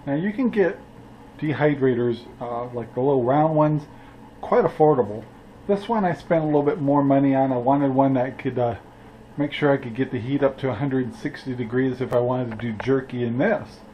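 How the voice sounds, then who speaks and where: A man's voice: medium pitch, normal speed, highs cut off, a little hiss or hum. An older man hosting a casual cooking vlog, talking in a folksy, rambling way with plenty of "uh"s and little chuckles. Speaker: cooking channel host. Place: outdoor yard beside a grill, and indoors in a cluttered room.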